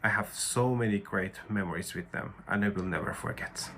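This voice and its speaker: A man from Iran, speaking slowly and sincerely about his student experience. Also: on the low side. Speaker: former international student.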